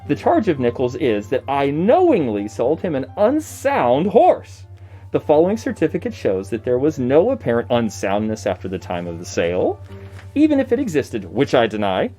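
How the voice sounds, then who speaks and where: A man's voice: medium pitch, wildly swooping pitch, moderate pace, warm tone, faint music behind. A man playing an indignant nineteenth-century horse seller, declaiming old newspaper notices in a theatrical, scornful manner. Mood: indignant, defiant.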